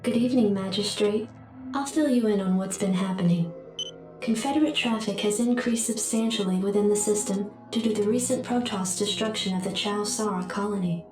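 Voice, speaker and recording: A woman voicing a calm, formal computer adjutant giving measured, impersonal briefings in a video game. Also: mid-range voice, natural voice. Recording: echoey, distant, music behind.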